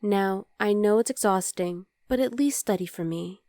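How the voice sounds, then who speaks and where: A woman playing an affectionate, playful young demon girlfriend in an intimate ASMR roleplay, speaking softly to the listener. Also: mid-range voice, normal speed, no echo, studio quality, lightly acted. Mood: touched, earnest.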